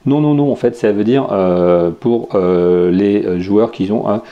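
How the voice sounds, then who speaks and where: A man's voice: lowish voice, measured pace, muffled recording. A French man hosting a podcast, casually reviewing tech and games with many fillers and restarts. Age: adult with children. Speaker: podcast host.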